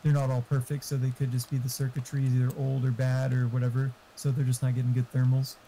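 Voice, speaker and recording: Very mellow man, rambling in voice chat. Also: medium pitch, monotone, quick pace, a little hiss or hum, low-quality recording. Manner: rambling, casually profane. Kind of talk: casual gaming voice chat.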